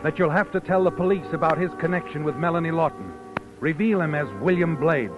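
An actor playing a knowing, insinuating narrator who taunts like a conscience, in dramatic 1940s radio suspense style. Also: male voice, on the high side, brisk, natural voice. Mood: knowing, ominous. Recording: dull old radio broadcast with music.

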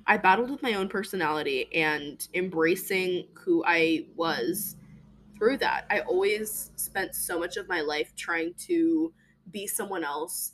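Young woman hosting a podcast, solo. Lower-pitched voice, chatty and emphatic, lots of fillers. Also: a bit bright. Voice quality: deeper voice than most women. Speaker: podcast host. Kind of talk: casual personal monologue.

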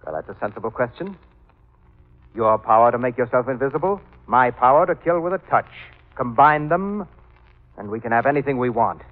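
An actor playing a suave, sinister, cultured killer in an old-time radio drama, speaking with mannered, mocking politeness. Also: male voice, medium pitch, lively intonation, a little fast, natural voice. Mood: smug, persuasive. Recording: tinny band-limited old radio recording, music behind.

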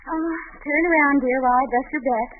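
An actress playing a sensible, patient young wife in a 1940s radio comedy, gently questioning and a little exasperated. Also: female voice, high voice, brisk, music in the background, lightly acted. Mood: patient, probing. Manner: gently questioning, wifely, concerned. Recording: tinny, narrow-band 1940 radio recording.

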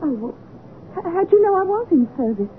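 A woman's voice: very high-pitched, big pitch swings, fairly quick. An actress playing a timid but cheeky poor young street girl, hesitant and deferential, in old radio drama. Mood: wary, grateful. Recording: tinny, noisy old radio recording.

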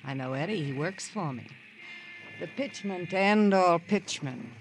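An actor playing a slick, sardonic American bargain-shop boss in a 1950s radio drama, with wry, theatrical patter. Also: male voice, high-pitched, expressive, measured pace, soft background music. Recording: faintly noisy old radio recording.

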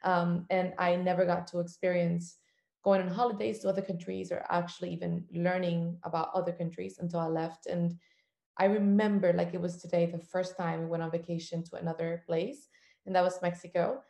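A woman's voice: lowish voice, little pitch movement, fairly quick, creaky, reverberant. A woman in her thirties from Cuba, a travel journalist telling her story as a podcast guest with eager, filler-laden talk. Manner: warm, enthusiastic storytelling with many "um" fillers. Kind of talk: casual interview talk.

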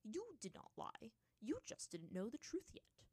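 A woman voicing a grumpy, aloof old male monkey spirit monk who speaks in a flat, curt, dismissive way in a story reading. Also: on the high side, a little slow, slightly rough, no echo, voice pushed up, full character voice.

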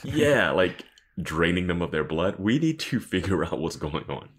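A man's voice: deep voice, big pitch swings, normal speed, breathy edge. A man co-hosting a podcast in casual, joking commentary, riffing with mock quotes and plenty of filler "like". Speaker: podcast co-host.

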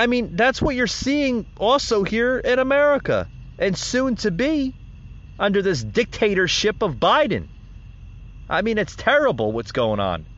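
A man's voice: sits high, a bit bright. A man hosting an opinion podcast, talking emphatically and often calling his listeners folks. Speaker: podcast host. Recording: dull lo-fi sound with faint noise.

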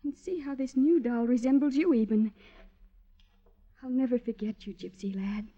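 Actress as a high-voiced, doting widow. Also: female voice, moderate pace, mellow, natural voice. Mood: mournful, dramatic. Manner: effusive, dramatic. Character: lovestruck, doting, melodramatic widow woman. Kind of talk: classic radio drama acting. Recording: dull, band-limited radio recording.

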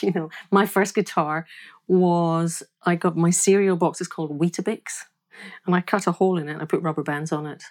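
Woman, podcast guest, laughs often, low voice. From Scotland. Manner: warm, laughing storyteller. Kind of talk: casual interview chat.

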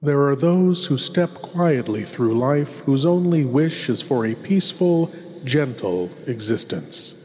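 A man playing a courtly, sinister horror host lord, presenting old-time radio horror in a theatrical, deliberate and ornate manner. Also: medium pitch, lively intonation, darkish tone, slight background noise. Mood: grave, ominous. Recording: long hall reverb.

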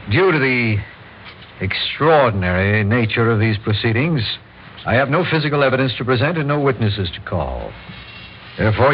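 Principled DA, radio play: mid-pitched, formal, measured, earnest, on a dull noisy vintage recording. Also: male voice. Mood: earnest, uneasy. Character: principled, hesitant district attorney, earnest and formal. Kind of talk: old-time radio drama acting.

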